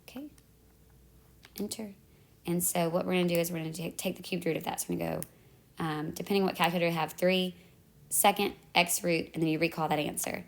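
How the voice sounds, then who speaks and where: Female math teacher, 30s, low and gravelly, voice going hoarse, patient step-by-step tutorial delivery. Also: fairly even intonation, fast, long pauses. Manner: talks through calculator steps, self-correcting. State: losing her voice. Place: at home in the evening.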